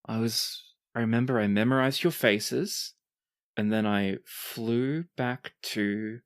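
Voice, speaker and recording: A young male scout in his late teens, voiced by a man for a tabletop game. He is dazed and halting, piecing his words together in a close, dry sound. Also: mid-range voice, dry recording. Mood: staggered, halting. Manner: halting, baffled.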